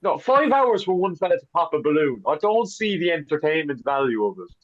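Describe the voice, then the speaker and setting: Male podcast co-host, mid-pitched, deadpan teasing and mock complaining, dead-dry muffled sound. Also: fairly quick, no echo. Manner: dry joking, mock grumbling. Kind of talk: casual chat over a video call.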